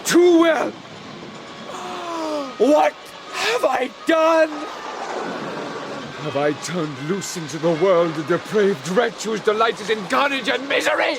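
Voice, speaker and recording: A man's voice: sits high, big pitch swings, takes it slowly, pauses often, background noise, exaggerated voice. A man playing an anguished, guilt-ridden young scientist, theatrical and impassioned, in a dramatised audiobook. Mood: horrified, frantic. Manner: melodramatic, impassioned, archaic phrasing.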